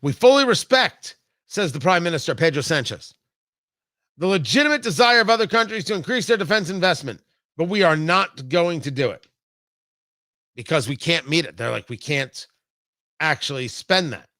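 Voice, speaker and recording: An American male talk show host with a high-pitched voice and a forceful, bright tone. He delivers opinionated commentary emphatically, hammering points home through repetition. The sound is dry and close. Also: lively intonation, no echo. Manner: emphatic, deliberate repetition for effect. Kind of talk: opinionated political commentary monologue.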